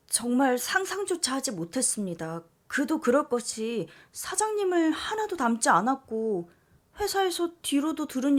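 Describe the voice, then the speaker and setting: A woman narrating a listener's story in the first person, reading aloud with expressive rises at dramatic turns. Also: high-pitched.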